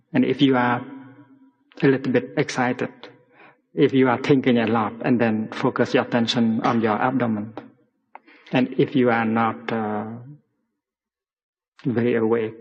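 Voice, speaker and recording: Very slow, husky man guiding meditation. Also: medium pitch. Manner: gentle, deliberate, short phrases with long pauses. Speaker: meditation teacher leading a guided mindfulness exercise. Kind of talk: guided meditation talk to a community. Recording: muffled recording in a long-reverberant hall.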